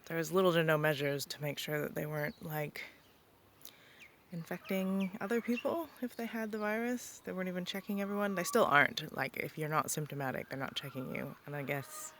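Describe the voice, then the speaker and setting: Young female vlogger, casual and rambling, a fry-edged voice, on a noisy outdoor recording. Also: low-pitched, a little fast, a bit bright. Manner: rambling, many ums and likes. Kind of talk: casual vlog talk. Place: rural property outdoors, birds around.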